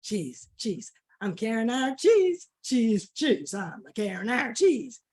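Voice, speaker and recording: A woman's voice: on the high side, expressive, normal speed, slightly rough. A woman storyteller voicing an emphatic, fair-minded male dog friend in an animal folktale. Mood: eager.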